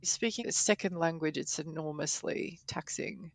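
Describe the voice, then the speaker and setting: A woman's voice: low voice, normal speed, vocal fry, no echo. A woman in her forties who grew up in Poland, a podcast editor and language teacher, talking through her ideas thoughtfully in a casual interview. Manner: thoughtful, explains with examples.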